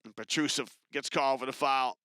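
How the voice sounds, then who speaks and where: Guy doing basketball play-by-play, bright crisp voice, dead dry sound. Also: medium pitch, dry recording. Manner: brisk, chatty, rises with excitement on big plays. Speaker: play-by-play commentator. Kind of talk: live basketball commentary.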